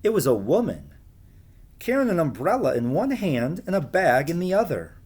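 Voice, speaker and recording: A man reading a storybook aloud to young listeners, expressive, with casual asides spoken straight to them. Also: on the high side, moderate pace, slightly rough.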